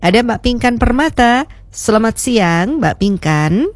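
A woman hosting a radio program, interviewing a guest in a warm, chatty way. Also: fairly high pitch, sing-song, unhurried, dry recording. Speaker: radio host.

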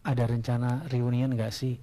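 A man's voice: mid-range voice, flat delivery, normal speed, dark tone. A man hosting a casual interview, relaying questions informally and echoing answers in short prompts. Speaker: show host.

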